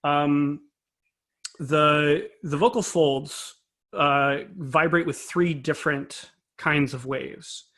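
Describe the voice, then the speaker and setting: A man with a fairly high voice speaks as an interview guest. He explains technical matters informally and analytically, taking care over exact wording. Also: slow, a bit bright. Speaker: interview guest, composer and conductor.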